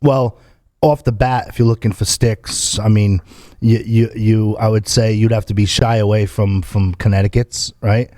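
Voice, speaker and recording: A man's voice: lowish voice, fairly even intonation, normal speed, dark tone, no echo, clean studio sound. A man hosting a podcast in casual chat, who often stammers and repeats words as he asks questions. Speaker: podcast host.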